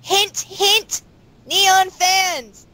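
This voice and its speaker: A woman's voice: very high-pitched, fairly even intonation, very slow, pushed and bright. A woman chatting casually in a group interview as a voice actor. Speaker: voice actor and series co-creator. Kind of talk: casual chat.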